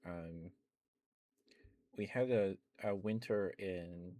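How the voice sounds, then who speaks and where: A man chatting in a soft, rambling ASMR style, close to the mic, with many fillers and small laughs. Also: sits low, very slow, dry recording. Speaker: ASMR streamer.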